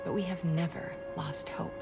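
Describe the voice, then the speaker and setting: A woman's voice: moderate pace, over loud music, close to an everyday voice. A woman playing an earnest young journalist narrating her podcast in a video game. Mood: earnest, hopeful.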